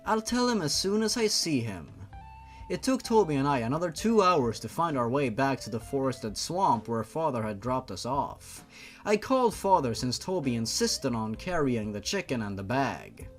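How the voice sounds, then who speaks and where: A man hosting a comic fan-fiction reading, narrating theatrically with mocking asides. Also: mid-range voice.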